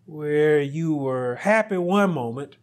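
A middle-aged male pastor preaching a sermon, speaking deliberately and rising to loud, emphatic peaks. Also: a little high, expressive.

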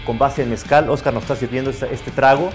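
A man's voice: medium pitch, fairly even intonation, a little fast, soft background music. A man in his thirties hosting an interview in a bar, asking questions in a friendly, inviting way. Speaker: interview host. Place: bar with bottle shelves.

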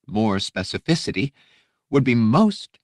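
A man's voice: medium pitch, sing-song, measured pace, no room sound, studio quality, pitched above the performer's own voice. A man voicing a nervous, servile alien aide in an audiobook, hesitant and deferential, prone to stammering. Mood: fearful, deferential. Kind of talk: audiobook character voice.

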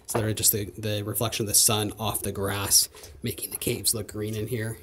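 A man's voice: on the low side, flat delivery, fairly quick, noisy background. A man in his thirties exploring a cave house on a wooded hillside, explaining what he finds to a handheld camera with curiosity. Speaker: vlogger and father. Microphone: handheld camera, sometimes at arm's length.

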